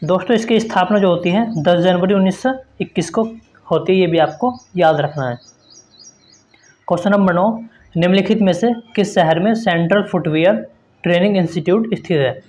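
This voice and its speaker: A man's voice: high-pitched, fairly quick, a little mellow. A man teaching an exam-prep quiz lesson, reading out questions and explaining answers in an instructive way with repeated emphasis.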